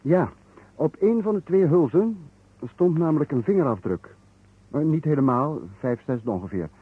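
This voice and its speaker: Husky, methodical police investigator. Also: male voice, fairly high pitch, animated, normal speed, dry recording. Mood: explanatory, earnest. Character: earnest, methodical male Italian police investigator. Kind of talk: old Dutch radio detective drama acting. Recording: muffled, narrow-band vintage radio recording.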